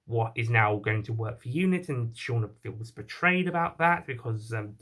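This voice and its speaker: Guy reviewing stuff off the cuff, kinda rambly, keeps stopping and rewording what he says, mid pitched voice. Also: lively intonation, fairly bright tone. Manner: halting, rambling, often repeats and rephrases himself. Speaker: online reviewer of audio drama. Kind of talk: unscripted spoken review.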